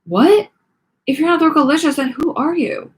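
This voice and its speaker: Woman putting on a shrill, haughty teenage mean girl. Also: moderate pace, lightly acted. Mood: outraged. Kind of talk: acted children's book read-aloud.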